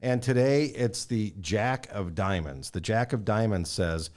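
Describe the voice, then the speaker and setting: A man's voice: lowish voice, unhurried, a little mellow. A man hosting a podcast in a conversational way, sounding middle-aged or older. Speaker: podcast host and former firefighter.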